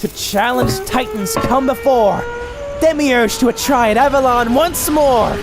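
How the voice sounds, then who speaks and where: A man voicing an excitable, scatterbrained young male cat with a florid, rambling, theatrical delivery in a comic dub. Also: very high voice, unhurried, music in the background. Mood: grandiose, poetic. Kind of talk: animated comic dub acting.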